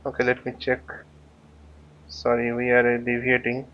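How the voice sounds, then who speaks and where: A man giving an unscripted flight simulator tutorial, thinking aloud haltingly and often muttering to himself. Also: mid-range voice, monotone, dull audio. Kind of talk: unscripted tutorial commentary while flying a simulator.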